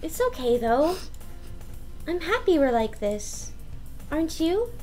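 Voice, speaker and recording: A woman's voice: sits high, big pitch swings, slow pace, darkish tone, faint music behind, heavily acted. A woman voicing a sweet, playful, childish teenage schoolgirl with a cutesy, teasing manner in a visual novel. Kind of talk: visual novel read-aloud.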